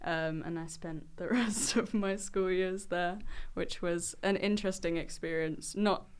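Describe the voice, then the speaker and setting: A woman's voice: on the low side, measured pace, clear, resonant tone. A young woman with a London accent, introducing herself casually as a podcast crew member. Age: young adult.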